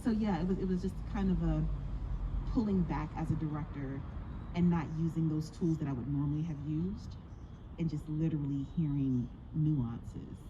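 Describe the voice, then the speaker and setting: Woman director, lowish voice, halting and thoughtful live Q&A delivery, noisy recording. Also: dull audio. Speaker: audio play director. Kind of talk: live Q&A talk.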